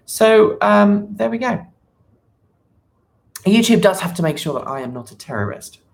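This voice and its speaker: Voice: medium pitch, very animated, moderate pace, creaky, slight background noise. A live stream host chatting casually and unscripted, rambling and thinking aloud. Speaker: channel host.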